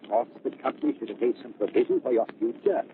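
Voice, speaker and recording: An actor playing a smooth, manipulative scientist doctor, theatrical and insinuating, in a 1930s radio mystery. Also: male voice, mid-range voice, fast talker, close to an everyday voice. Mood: sly, coaxing. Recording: noisy, muffled 1930s radio recording.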